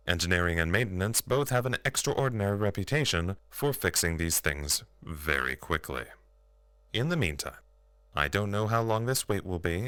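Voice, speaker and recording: An actor playing an earnest, chatty facility radio operator, giving a rambling, matter-of-fact log in an audio drama. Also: male voice, sits low, fairly bright tone, no echo. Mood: calm, explanatory.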